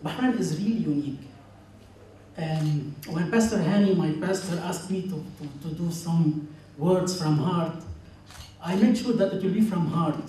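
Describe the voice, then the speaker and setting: A man in his thirties with a Lebanese accent, a native Arabic speaker, gives an earnest, halting personal speech to a congregation. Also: fairly high pitch, warm tone. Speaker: church member giving a testimony. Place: large indoor church hall. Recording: very echoey church, distant mic, faint noise.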